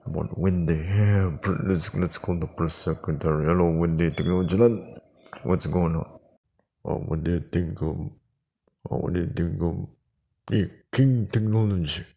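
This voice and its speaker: Low-pitched man, gravelly fry, halting and repetitive, casual improvised chat, noisy recording. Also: fairly even intonation, dark tone. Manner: halting, repeats words. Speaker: prank call video creator, host.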